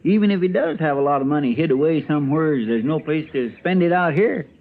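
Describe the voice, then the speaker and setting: A man's voice: on the high side, a little fast. A man with a rural American drawl playing a folksy, plainspoken, eager young sidekick in an old-time radio western. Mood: chatty, earnest. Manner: plainspoken, homespun, deferential. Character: folksy, hungry, grumbling young frontier sidekick, eager and simple. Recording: tinny, noisy old radio recording.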